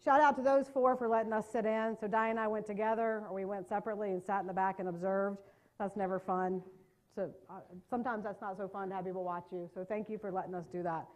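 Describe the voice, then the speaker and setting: A woman's voice: medium pitch, steady tone, darkish tone, poor audio. A middle-aged woman from North Carolina with a Southern accent, giving a chatty, joking training talk to a live audience in a meeting room. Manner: fast-talking, chatty, self-deprecating jokes. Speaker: trauma care trainer.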